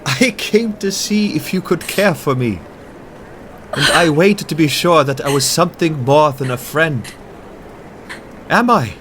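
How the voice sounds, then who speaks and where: A man playing a gentle, courteous, lovestruck German professor in an audio play, with a German accent and formal, halting phrasing. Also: a little high, lively intonation, noisy background. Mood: tender, elated.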